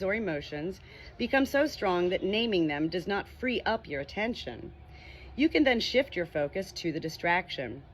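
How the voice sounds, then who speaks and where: A woman reading a meditation book aloud as scripted narration, in a measured, expressive way. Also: medium pitch, a little hiss or hum. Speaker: audiobook reader.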